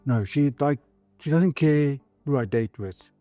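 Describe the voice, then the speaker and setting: A South African man in his thirties with a South African accent, telling his story in an easygoing, halting way in a documentary interview. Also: mid-range voice, dark tone, no echo, faint music behind.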